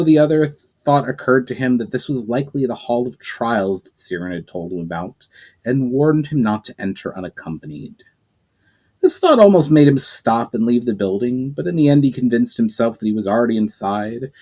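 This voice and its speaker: A man reading his own fantasy novel aloud as narrator, his pitch rising and falling through each sentence, with small slips and restarts. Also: darkish tone.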